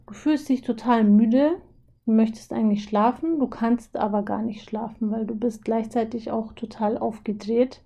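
Young woman, hoarse from a cold, kinda high voice but mellow, chatty explainer w lots of ähms. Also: highs cut off. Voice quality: husky. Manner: chatty, many filler pauses. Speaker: video host. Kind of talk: casual explanatory talk over drawings.

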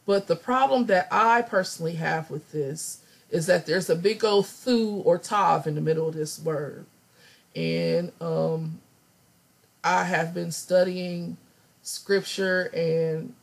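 Woman, hoarse, raspy, slightly low voice, unhurried, scripture teacher, thinking aloud. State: hoarse, recovering from laryngitis. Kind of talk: scripture study lesson over slides.

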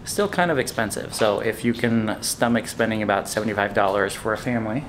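A man's voice: lowish voice, a little fast, noisy background. Chatty man vlogging on a desert trail. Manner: relaxed, chatty, explains details to camera. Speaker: vlog host. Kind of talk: casual travel vlog narration. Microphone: camera held at arm's length.

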